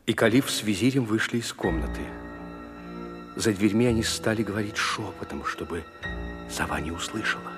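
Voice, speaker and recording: A male actor as the measured storyteller, narrating a scripted audio fairy tale in a husky voice. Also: fairly low pitch, natural voice. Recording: reverberant hall, light music underneath.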